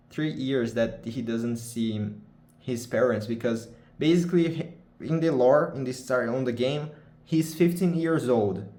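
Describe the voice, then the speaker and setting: A man's voice: medium pitch, mellow, echoey room. A young man from Brazil with a Brazilian accent, chatting casually in English as a second language, often restarting and searching for words. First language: Portuguese. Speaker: vlogger. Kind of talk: casual unscripted chat.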